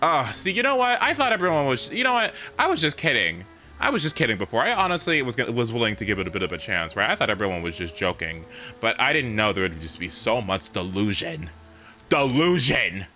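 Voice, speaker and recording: A man's voice: medium pitch, very animated, fairly quick, sharp tone. A man livestreaming reactions to a story game, talking in a theatrical, repetitive, joking way. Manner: theatrical mock outrage, heavy swearing, repetitive. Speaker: game streamer. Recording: faint game music behind.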